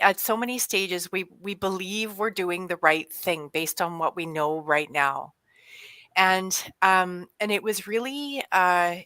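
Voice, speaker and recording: A woman's voice: on the low side, bright, forceful tone, no room sound. A woman speaking as an HR director on a video call panel, telling a reflective story with many restarts and hesitations.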